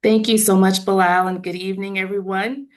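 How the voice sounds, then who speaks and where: A woman in her forties with a raspy voice, hoarse from laryngitis, answering interview questions in a measured way over a video call. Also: mid-range voice, little pitch movement. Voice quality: raspy, gravelly. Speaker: university trustee guest.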